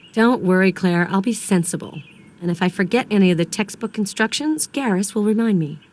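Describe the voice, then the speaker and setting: An actress playing a composed, determined woman investigator in a video game, speaking in a measured, matter-of-fact way. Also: medium pitch, darkish tone, a little hiss or hum, lightly acted. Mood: composed, resolute. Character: determined, composed female federal investigator in the field.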